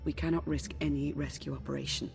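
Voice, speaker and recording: Low, mellow-voiced adviser. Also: female voice, flat delivery, quick pace, gravelly, soft background music. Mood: grave, cautious. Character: cautious, grave woman adviser. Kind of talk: video game cutscene acting.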